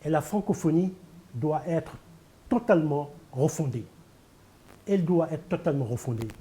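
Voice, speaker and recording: Older Senegalese poet, Pulaar first language, slightly high yet deeply dark tone, rhetorical and emphatic. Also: male voice, normal speed. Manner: emphatic, repeats key phrases, rhetorical. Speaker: poet and candidate guest. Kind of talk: televised interview.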